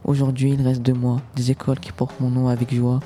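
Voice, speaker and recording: A young man reciting a rhymed first-person poem as a young female resistance fighter, in a steady, rhythmic delivery. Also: mid-range voice, fairly quick, close to an everyday voice. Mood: defiant.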